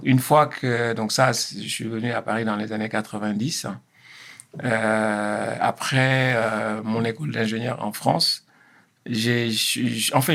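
Gravelly-voiced man in his fifties, hesitant. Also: mid-range voice, fairly bright tone. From Senegal. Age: 50s. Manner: hesitant, many fillers and restarts. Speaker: guest. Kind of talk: interview answers.

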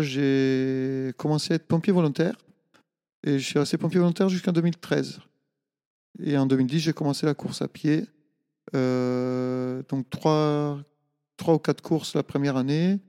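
A middle-aged man from Italy, a native Italian speaker, answering casually in an interview with halting, hesitant phrasing. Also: a little high, fairly even intonation, dark tone, clean tone, in a large hall. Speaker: interview guest, ultra-trail runner.

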